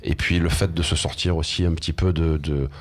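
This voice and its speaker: Guest, very deep voice, rapid speech, Marseille accent, stutters into sentences. brief. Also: male voice, steady tone. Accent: Marseille French. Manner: fast, repeats words when starting, passionate. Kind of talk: animated football discussion.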